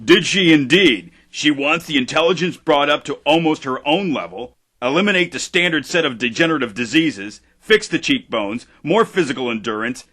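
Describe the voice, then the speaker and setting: Male scientist-doctor for audio drama, actor: self-satisfied, clipped, businesslike, forceful bright tone. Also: a little high.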